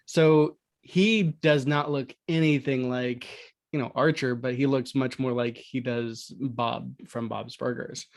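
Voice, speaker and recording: A man's voice: mid-range voice, steady tone, slow, gravelly. A man talking casually and conversationally as a panelist on a podcast. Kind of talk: casual chat.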